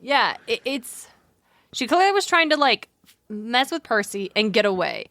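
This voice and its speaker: A woman's voice: on the high side, big pitch swings, a little slow, sharp tone, no room sound. A woman co-hosting a podcast, chatting casually and informally about mythology as she thinks aloud. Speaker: podcast co-host.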